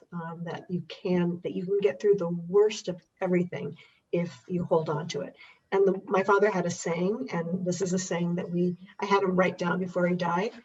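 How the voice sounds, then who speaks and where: An older woman with a husky voice, talking reflectively in conversation as a guest about her family's history. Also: lowish voice. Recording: distant, dull muffled microphone.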